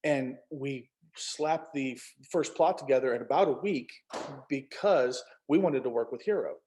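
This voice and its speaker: Male author as interview guest, mid-pitched, emphatic and jokey, talkative. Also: a little slow, a little mellow. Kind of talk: casual interview chat.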